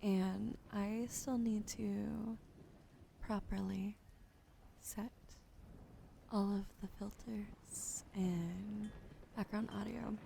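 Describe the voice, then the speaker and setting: A woman streamer chatting with viewers during an ASMR livestream, often whispering softly, close to a sensitive studio mic. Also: medium pitch, steady tone, gravelly, a little hiss or hum. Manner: often whispering, soft and unhurried. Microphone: sensitive studio mic on a boom arm.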